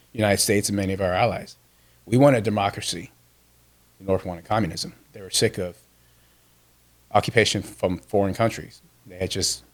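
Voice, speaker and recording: A man in his fifties, born in Vietnam with Vietnamese as his first language, telling a personal story earnestly as a podcast guest. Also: low voice. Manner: earnest, with frequent restarts.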